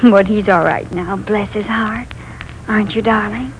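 A woman's voice: sits high, sing-song, moderate pace, fairly bright tone, a little hiss or hum, poor audio. An actress playing a fussy, haughty invalid wife who rules her home with tears, in a 1940s radio play. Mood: tender.

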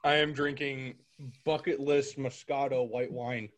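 A man's voice: mid-range voice, flat delivery, unhurried. A man co-hosting a casual podcast, joking about himself and talking through a home interface mic. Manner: joking, self-deprecating. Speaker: podcast co-host. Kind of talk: casual chat. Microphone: home audio interface mic.

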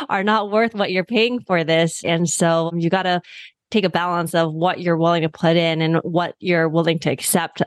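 A woman with a somewhat low voice, recorded dry and close. She hosts a casual podcast interview, asking friendly questions and chiming in with quick affirmations. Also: no echo. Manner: upbeat, laughs easily, brief affirmations. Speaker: podcast host.

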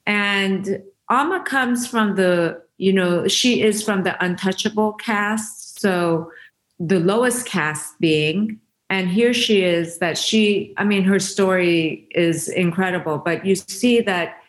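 A woman's voice: medium pitch, slow pace. Earnest, reflective woman telling a story. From Iran. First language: Farsi. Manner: earnest, reflective, with frequent restarts. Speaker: interview guest, founder of a women's giving circle. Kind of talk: conversational interview storytelling.

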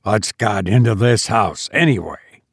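A man reading aloud and voicing a gruff, resentful middle-aged father who speaks roughly. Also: lowish voice, lively intonation, measured pace, no room sound, clean studio sound, heavily acted. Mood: angry.

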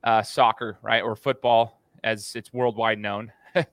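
A man's voice: fairly low pitch, little pitch movement, measured pace, fairly bright tone, no room sound. An American man hosting an interview podcast in a casual, chatty way, talking to the guest like a buddy. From United States. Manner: informal, buddy-like address. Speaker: podcast host.

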